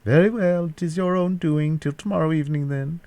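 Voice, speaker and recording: Audiobook villain played by a man: aristocratic male captor, mocking, threatening, pitched well above the narrator. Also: brisk, dark tone, dry recording, natural voice. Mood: cold, impatient. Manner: jeering, mock-cajoling, threatening. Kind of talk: classic novel audiobook character voice.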